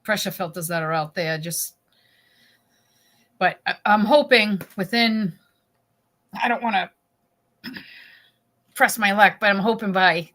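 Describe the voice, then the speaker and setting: Bright-voiced New England woman chatting. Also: medium pitch, unhurried, pauses often. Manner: chatty, laughs easily, trailing off mid-sentence. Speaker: co-host. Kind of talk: casual chat.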